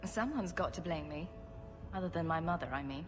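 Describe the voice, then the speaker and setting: A woman's voice: on the low side, lively intonation, fairly quick, noisy background, close to an everyday voice. A woman voicing a wisecracking female rogue in a video game, flippant and drily witty. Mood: sarcastic. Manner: flippant, dry wit. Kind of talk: video game dialogue acting.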